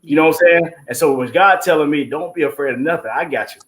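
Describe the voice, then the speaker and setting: A man with a higher-than-average voice talks as a guest producer on a show. He testifies informally and with emphasis, leaning on his faith and checking in often with conversational tags. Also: quick pace, a bit bright. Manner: informal, emphatic, devout, punctuated with 'you know what I'm saying'. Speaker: stage play producer and guest. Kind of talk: testimonial talk on an online show.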